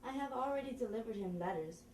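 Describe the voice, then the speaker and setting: Casting: woman playing formal stage messenger reporting from war, soft dark tone, picked up far from the mic in a roomy space. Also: fairly high pitch, animated, fast, close to an everyday voice. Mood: earnest, formal. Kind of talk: Shakespearean stage dialogue, scene reading. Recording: roomy, distant, faint noise.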